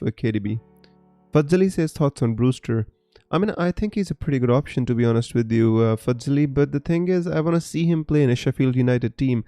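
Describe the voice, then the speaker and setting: A man's voice: mid-range voice, mellow. A man hosting a live fantasy football stream, answering viewer comments in a friendly, chatty way with lots of fillers.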